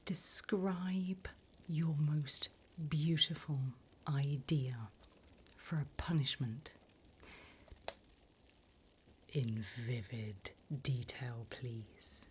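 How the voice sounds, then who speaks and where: Infernal interviewer demon, female, voiced by a woman: low breathy whisper, measured, polite menace, relishes wickedness, ASMR roleplay. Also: heavily acted. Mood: delighted, sinister. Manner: slow, deliberate, courteous, softly menacing.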